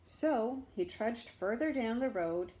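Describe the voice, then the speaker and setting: A woman storyteller reads with a fairly high, very animated voice. She takes her time, pausing for drama as she narrates. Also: low-quality recording. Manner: dramatic, with pauses and asides to show pictures. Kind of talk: expressive children's story reading.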